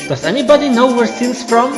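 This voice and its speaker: A man's voice: very high voice, big pitch swings, a little fast, over loud music, voice pushed up. A man voicing a brash, nosy young creature at school in a video game, eager and pushy. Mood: brash, curious.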